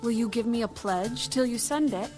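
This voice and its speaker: An actress playing a bargaining widow in a dramatized audio Bible. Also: female voice, a little high, normal speed, over music, natural voice. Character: bargaining adult woman of the Bible, veiled widow.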